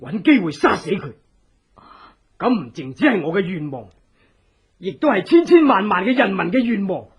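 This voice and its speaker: An actor playing an earnest, secretive revolutionary, speaking with urgent, theatrical persuasion in a radio drama. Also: male voice, high voice, big pitch swings, normal speed, long pauses, breathy edge, full character voice. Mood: fervent. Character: earnest, secretive revolutionary, escaped political prisoner. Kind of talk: Cantonese radio drama acting. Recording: dull, lo-fi old radio drama.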